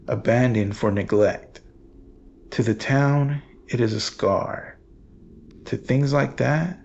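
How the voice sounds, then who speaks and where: A man delivering scripted horror narration as the storyteller, grave, deliberate and ominous. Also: mid-range voice, creaky, a little mellow, lo-fi sound, slight background noise. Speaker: narrator and archivist host.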